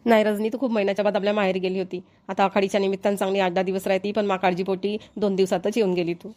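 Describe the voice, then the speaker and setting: A medium-pitched, fast-speaking elderly village mother-in-law, acted by a woman in a homemade cartoon comedy. She is kindly and grateful, with a Varhadi Marathi accent. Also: little pitch movement, a little mellow, close to an everyday voice. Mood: fond, grateful. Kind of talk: amateur cartoon comedy voice acting.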